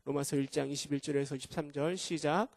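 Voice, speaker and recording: A male pastor preaching a sermon at a church prayer meeting, hoarse from a cold, earnest and putting rhetorical questions to the congregation. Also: a little high, steady tone, fast, darkish tone, no room sound.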